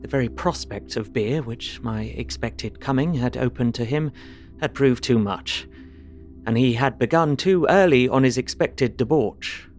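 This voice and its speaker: Actor for earnest Victorian gentleman, British, medium pitch, measured formal journal narration for audio drama, faint music beneath. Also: natural voice. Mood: methodical, intent.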